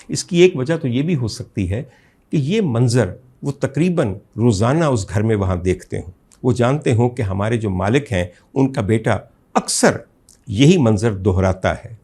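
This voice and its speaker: A man with a Pakistani accent giving an indignant, emphatic opinion monologue as a talk show host, full of rhetorical emphasis, in a husky, gravelly voice. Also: medium pitch, warm tone. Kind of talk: opinion monologue recounting a case to viewers.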